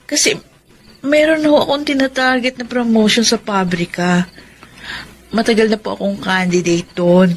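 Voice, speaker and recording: An actress with a Filipino accent playing an ambitious, hesitant young factory worker in a radio drama, speaking in an emphatic, conversational way. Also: female voice, a little high, fairly even intonation, normal speed, pauses often, lightly acted. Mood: earnest, conflicted. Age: young adult. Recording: faint background noise.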